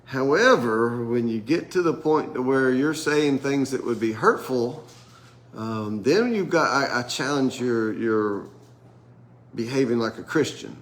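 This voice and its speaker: A man's voice: mid-range voice, animated. Nasal, congested man teaching Bible study. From United States. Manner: rambling, halting, with many restarts. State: congested from sinus infection. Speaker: pastor leading Bible study. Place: room with a group at a table.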